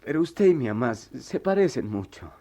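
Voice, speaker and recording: An actor playing a troubled, proud, remorseful working-class former pupil in a radio soap, with a Mexican accent and halting, colloquial speech. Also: male voice, mid-range voice, sing-song, mellow. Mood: emotional, halting. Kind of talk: melodramatic radio soap acting.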